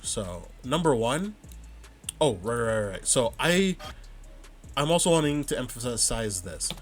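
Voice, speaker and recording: A man calmly breaking down his own match footage, thinking aloud and stopping to rewind and check his plays. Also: mid-range voice, measured pace, soft background music. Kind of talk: casual vod review commentary.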